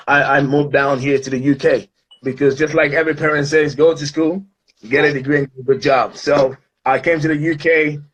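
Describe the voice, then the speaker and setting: A man's voice: fairly high pitch, a bit bright, low-quality recording. A man from Ghana, speaking as a guest in an online interview in an upbeat, motivational way with many fillers.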